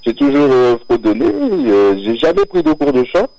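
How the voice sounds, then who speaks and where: A singer from Martinique, born near Paris, talking as a guest on a live radio show, hesitant, with many fillers and restarts and frequent laughs. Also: male voice, mid-range voice, wildly swooping pitch, normal speed, gravelly, warm tone, no room sound, rough recording. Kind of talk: live radio interview, casual chat. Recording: radio broadcast audio.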